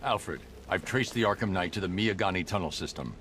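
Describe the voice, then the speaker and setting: A stern masked vigilante in a video game. His very low voice is clipped and commanding, over faint background noise. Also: a little fast, a bit bright. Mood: terse command.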